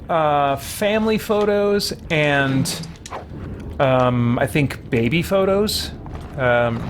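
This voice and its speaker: Male livestream host, medium pitch, casual and halting gameplay chat, noisy recording. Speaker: video game livestream host. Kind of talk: casual gameplay chat, answering viewer questions. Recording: clearly noisy background.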